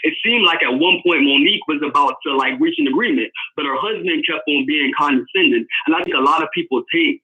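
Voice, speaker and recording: Fast-talking New York man, muffled phone call. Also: fairly high pitch, slightly rough. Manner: fast, earnest. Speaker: caller. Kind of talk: casual chat.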